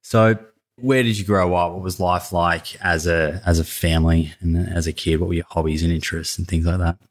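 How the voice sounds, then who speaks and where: Deep-voiced Australian podcast host. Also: male voice, steady tone, normal speed. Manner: friendly, curious questioning. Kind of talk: casual podcast interview. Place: his apartment.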